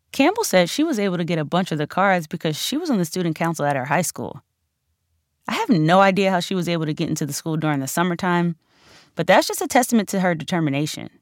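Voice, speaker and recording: Female audiobook narrator, lowish register, lively swoops, conversational and emphatic, close dry sound. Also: quick pace, dry recording. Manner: conversational, emphatic storytelling with casual slang. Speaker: author narrating own audiobook.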